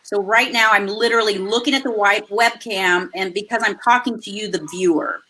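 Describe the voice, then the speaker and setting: A woman hosting a live webinar, talking through a video call. Her voice is loud and carries, with a bright, forceful edge. She coaches in an energetic, chatty way. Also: medium pitch, fairly quick, low-quality recording. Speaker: host.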